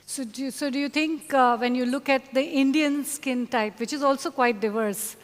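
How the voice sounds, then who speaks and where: A woman's voice: high voice, moderate pace, long reverb tail. A woman hosting a conference panel interview, hesitant with many fillers. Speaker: host. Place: conference hall.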